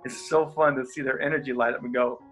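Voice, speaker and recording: A man's voice: medium pitch, fast talker, soft background music. A man who is a pastor and coach, speaking as an interview guest with energy and easy laughter, who has a stutter. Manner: energetic, animated, laughs easily. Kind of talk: interview guest, casual talk.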